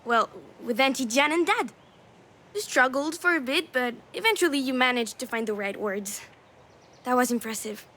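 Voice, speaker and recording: High-voiced, earnest young girl. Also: lively intonation, normal speed, bright, forceful tone, slight background noise. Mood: warm, encouraging. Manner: earnest, supportive, inquisitive. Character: young daughter, a girl, curious, gentle, consoling. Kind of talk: dramatic video game voice acting.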